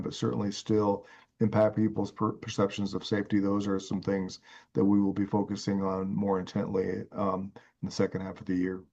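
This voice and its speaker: A man speaks with a low, very flat voice, pausing with fillers as he answers. The sound is dull and slightly lo-fi. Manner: hesitant, with fillers. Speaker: police chief. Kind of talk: press remarks.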